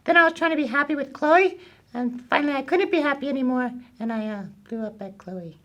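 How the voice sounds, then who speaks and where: A woman's voice: high-pitched, fairly quick, fairly bright tone, highs cut off. A woman voicing a childlike, hesitant young boy puppet in a children's puppet show. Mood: glum. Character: glum, confused young boy puppet named Bobby.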